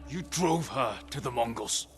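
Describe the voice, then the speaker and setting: An actor playing a determined, earnest samurai warrior in a video game, with a solemn, terse and resolute delivery. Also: male voice, medium pitch, animated, a little slow, close to an everyday voice. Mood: firm, demanding. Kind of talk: dramatic video game acting.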